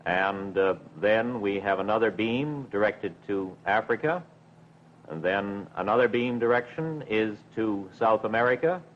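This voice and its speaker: Low-voiced man, dull vintage TV sound. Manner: measured, careful, formal, hesitant. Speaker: broadcasting service official being interviewed. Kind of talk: formal television interview. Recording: dull 1950s television recording with hum.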